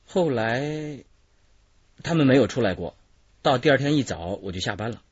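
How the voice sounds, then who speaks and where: A man's voice: mid-range voice, animated, normal speed, husky, no echo. An actor playing a knowing, gossipy male hotel attendant as a witness in a radio drama. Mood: hesitant. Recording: dull lo-fi radio drama recording.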